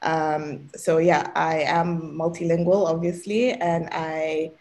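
Relaxed young woman, lowish voice. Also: takes it slowly. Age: young adult. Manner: relaxed, explanatory, laughs lightly. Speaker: student guest. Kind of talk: casual podcast chat.

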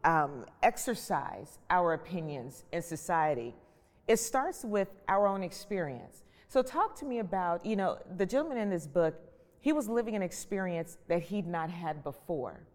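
A woman's voice: fairly low pitch, animated, moderate pace. A woman hosting a panel interview, putting questions in a conversational way. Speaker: host and moderator. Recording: long echoing reverb.

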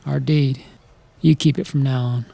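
An actor playing a troubled, apologetic younger brother in an 1890s timber camp drama, husky and halting. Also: male voice, medium pitch, steady tone, warm tone. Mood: sheepish. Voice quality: gravelly, husky. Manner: halting, meek, deferential. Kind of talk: dramatic acting for an animated storyboard film.

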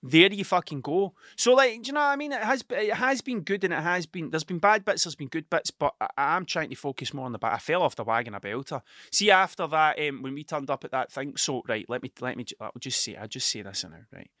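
A middle-aged Scottish man with a broad Ayrshire accent tells a long, rambling story, swearing freely and checking in with "do you know what I mean". His voice sits a little high and sounds bright and forward. The recording is close and dry, with no room sound. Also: lively intonation, fairly quick, few pauses, no room sound. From Ayrshire, Scotland. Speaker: podcast host. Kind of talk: casual storytelling monologue.

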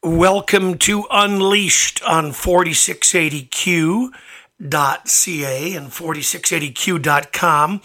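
A man with a slightly raspy voice, chatting informally as the host of a live radio interview show. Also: fairly high pitch, slow, pushed and bright. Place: radio studio. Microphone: studio microphone.

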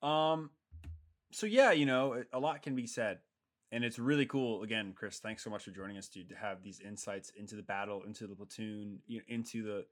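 A man's voice: on the low side, brisk. A man co-hosting a podcast, earnest and wordy as he thanks a guest. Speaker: podcast co-host. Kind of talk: casual podcast chat.

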